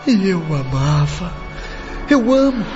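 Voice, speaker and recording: A man acting a grieving, reproachful young son in a radio drama, pleading in anguish with drawn-out words. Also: high voice, very animated, exaggerated voice. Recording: dull lo-fi audio under loud music. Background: dramatic music.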